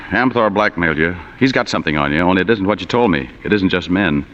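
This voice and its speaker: An actor playing an unflappable, sardonic, slangy hard-boiled private detective in 1940s radio acting style. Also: male voice, low voice, expressive, quick pace, a bit bright. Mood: sardonic accusation. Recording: dull vintage radio recording, long hall reverb.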